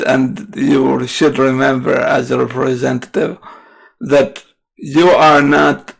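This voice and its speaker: A man from the Middle East whose first language is Arabic hosts an unscripted political podcast monologue. His voice is very gravelly and creaky, and he talks slowly. He gropes for words and often restarts to correct himself. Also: medium pitch, low-quality recording. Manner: halting, searching for words with frequent self-corrections. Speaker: podcast host.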